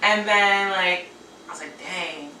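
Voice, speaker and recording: A bright, forceful young woman in her twenties talks in a loose, slang-filled way and laughs easily. She sits a little back from the mic. The room around her is echoey, with a faint hiss underneath. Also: lowish voice, far from the mic. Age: 20s. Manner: animated, slangy, quick to laugh. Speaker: podcast co-host. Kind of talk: casual chat.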